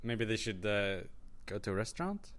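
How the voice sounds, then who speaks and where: A man's voice: lowish voice, big pitch swings, moderate pace, a bit bright. A man hosting a podcast in casual chat, with a joking, teasing manner. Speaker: podcast host.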